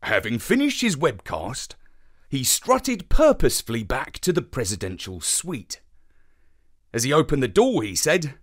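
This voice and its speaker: A man voicing a good-natured space dog who narrates a children's bedtime story in a wry, expressive way. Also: mid-range voice, measured pace. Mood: wry narrating. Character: good-natured male space-dog telling his own tale.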